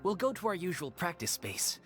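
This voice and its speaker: An actor voicing a polite, formal young leader of a theater troupe in a game. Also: male voice, high voice, big pitch swings, fairly quick, fairly bright tone. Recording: game audio with music.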